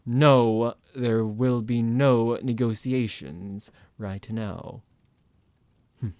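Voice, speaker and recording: A man's voice: mid-range voice, warm tone, no room sound, close to an everyday voice. A man playing a smug, psychotic vampire doctor in an ASMR roleplay, condescending, theatrical and menacing toward his captive.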